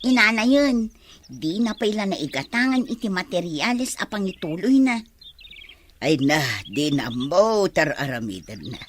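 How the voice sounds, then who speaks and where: A woman acts out a grumbling, disapproving village mother in a comedy radio drama. She nags with big pitch swings. Chirping birds and faint noise sit behind her. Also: very animated, a little slow. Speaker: actor.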